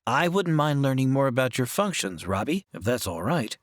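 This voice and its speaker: Man acting a lively-pitched, genial ship's doctor. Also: moderate pace, natural voice. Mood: calm, genial. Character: calm, genial, curious spaceship doctor. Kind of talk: old-time radio drama acting.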